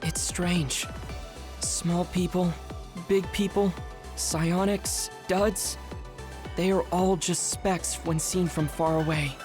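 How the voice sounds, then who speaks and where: A woman voicing a video game character, a small, child-looking man decades older than he seems, with formal, measured, earnest diction. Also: lowish voice, fairly even intonation. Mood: thoughtful. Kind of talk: dubbed video game cutscene acting. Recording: music behind the voice.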